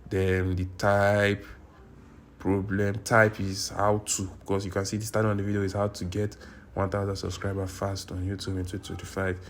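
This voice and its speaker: A man's voice: low voice, little pitch movement, moderate pace, slight background noise. A man from Nigeria, walking through a screen-recorded tutorial at his computer, repeating himself and correcting his own words as he goes. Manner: repetitive, insistent, self-correcting. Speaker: YouTube tutorial creator. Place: indoors at a computer.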